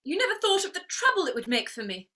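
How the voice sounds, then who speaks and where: A woman's voice: very high-pitched, big pitch swings, quick pace, bright, forceful tone, exaggerated voice. A woman playing a proud, wounded young flower girl turned lady in a theatrical play reading, defiant and plaintive. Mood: hurt, reproachful. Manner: defiant, plaintive, emotionally outspoken.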